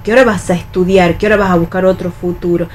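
An Argentine woman chatting on a radio panel in a halting way, often checking that the others agree. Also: fairly low pitch, fairly even intonation, darkish tone, slight background noise. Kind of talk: casual round-table discussion.

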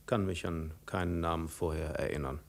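An actor playing a cool, ironic adventurer posing as a prince, measured and sardonically polite, in 1950s radio drama style. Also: male voice, very deep voice, steady tone, creaky. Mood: cool, reserved.

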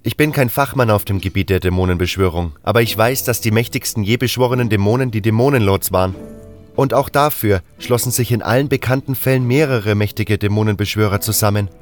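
A man's voice: lowish voice, a little fast, lightly acted. Learned apprentice mage , male reader, pondering and explanatory, fantasy audio drama, music underneath. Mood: sceptical, lecturing. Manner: explanatory, reasoning step by step.

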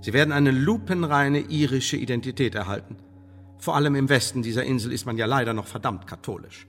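An actor playing a coolly menacing English intelligence official in a radio play, speaking in formal, measured, condescending bureaucratic phrases. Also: male voice, mid-range voice, natural voice. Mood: persuasive, emphatic. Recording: studio radio play with long reverb.

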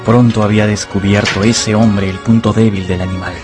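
A man's voice: on the low side, little pitch movement, moderate pace. A man giving literary storytelling narration for a radio adaptation of a novel. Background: music.

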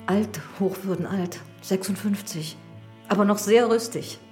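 A woman's voice: medium pitch, measured pace, a little mellow, natural voice. Radio drama housekeeper female actor: guarded widow in service, respectful yet evasive, music underneath. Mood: deferential. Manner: deferential, evasive, hesitant. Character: guarded, deferential widowed housekeeper of a village parson. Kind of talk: German radio drama acting.

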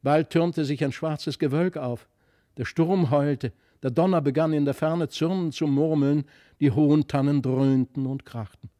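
A man reads a literary fairy tale aloud. His voice sits a little high for a man, and he tells the story dramatically and with expression. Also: fairly even intonation, unhurried, dark tone.

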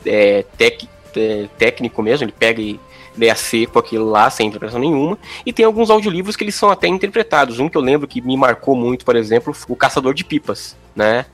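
Brazilian man, halting talker, faint music behind. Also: medium pitch, fairly bright tone. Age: over 28. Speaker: podcast panelist. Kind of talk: casual podcast chat.

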